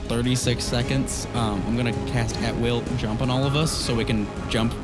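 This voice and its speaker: A man's voice: mid-range voice, steady tone, moderate pace. A man chatting casually as a player in a tabletop game, thinking aloud informally. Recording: loud music over the voice. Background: loud background music.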